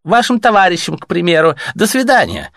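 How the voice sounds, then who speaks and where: A man's voice: high voice, huge pitch swings, brisk, vocal fry, fairly bright tone, no echo, clean studio sound, voice pushed up, heavily acted. Brief: cool bureaucratic officer, courteous but icy, put on by a male narrator. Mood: cool formal. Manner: aloof, formal, coldly courteous.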